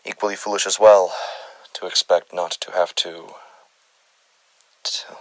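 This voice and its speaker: A man's voice: sits low, level tone, unhurried, dry recording, rough recording. A man voicing a brooding male doctor who speaks in a formal, wordy, self-searching confession about a strange case. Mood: grave, confessional.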